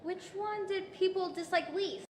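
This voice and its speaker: A woman playing an earnest, excitable, encouraging young storybook girl in a 1940s-style radio play on stage. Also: very high voice, measured pace, slight background noise. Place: large indoor library event hall, live stage. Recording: echoey hall, music behind.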